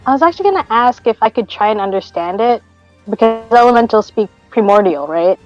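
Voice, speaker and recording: A woman's voice: on the high side, animated, fairly quick, dull audio, faint music behind. A woman chatting casually as a player in a tabletop roleplaying game.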